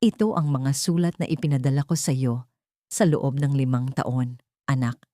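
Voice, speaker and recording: Woman acting as old rich father, audio story drama, solemn, deliberate, remorseful, cold, vengeful. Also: a bass voice, mellow, no echo, clean studio sound, pitched below the performer's own voice. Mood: pained, pleading. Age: older.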